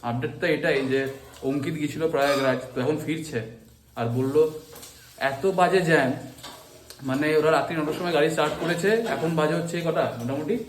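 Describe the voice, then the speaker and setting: A man's voice: a little high, steady tone, brisk, reverberant. A man with a West Bengal Bengali accent chats casually to a vlog audience, often repeating short phrases. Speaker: vlogger. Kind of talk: casual home vlog chat. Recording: clearly noisy handheld phone recording.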